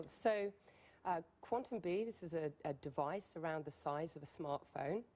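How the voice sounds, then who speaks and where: A woman speaking as a guest scientist in a broadcast interview, explaining technical work in a measured, slightly hesitant way. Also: fairly low pitch, a little mellow. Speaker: company scientist as guest expert.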